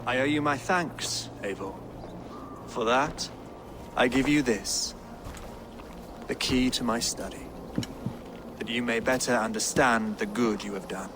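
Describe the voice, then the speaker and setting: An actor playing a pious, serene deposed English king in a video game, speaking formally and with measured solemnity in an English accent. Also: mid-range voice, noisy background, natural voice. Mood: calm, gracious. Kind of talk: dramatic video game acting. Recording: game audio with music underneath. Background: music.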